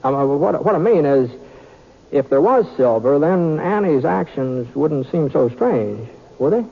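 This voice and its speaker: Mild drawling cowboy gunslinger, hesitant, thin tinny old radio sound. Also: male voice, a little high, animated, creaky, breathy edge, heavily acted. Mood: modest. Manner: halting, soft-spoken drawl with hesitations. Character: easygoing drawling male Western gunfighter. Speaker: gunfighter Britt Ponson. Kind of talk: 1950s radio drama acting.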